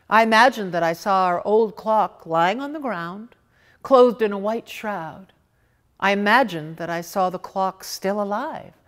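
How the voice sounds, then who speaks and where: A woman reading a short story as theatrical narration, dramatic, with emphatic pauses. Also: lowish voice. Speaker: reader of short stories.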